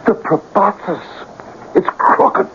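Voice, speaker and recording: An actor in a 1970s radio drama playing an excitable, cajoling sidekick who believes in fate, with a theatrical delivery. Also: male voice, slow. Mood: persuasive. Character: excitable, coaxing, fate-believing male sidekick, a wily talker. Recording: dull, noisy old radio broadcast recording.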